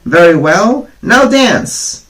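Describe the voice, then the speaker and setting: A man's voice: sits high, very animated, slow, fairly bright tone, voice pushed up, heavily acted, low-quality recording. A man voicing indignant, scornful, hardworking ants who scold in a children's storybook fable. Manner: scolding, incredulous.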